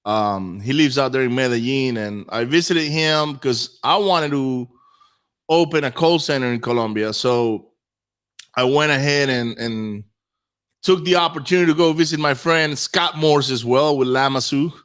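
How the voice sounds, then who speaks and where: A man's voice: medium pitch, normal speed, a bit bright, smooth. A man from Venezuela whose first language is Spanish, chatting informally and telling personal stories as an interview guest. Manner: informal, says man and brother often. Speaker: interview guest, real estate investor. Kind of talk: casual personal storytelling.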